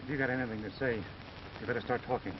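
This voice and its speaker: A man's voice: medium pitch, fairly even intonation, racing, lightly acted. An American actor playing an accused, level-headed cowboy who defiantly protests his innocence in a 1930s western film. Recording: muffled noisy 1930s film soundtrack.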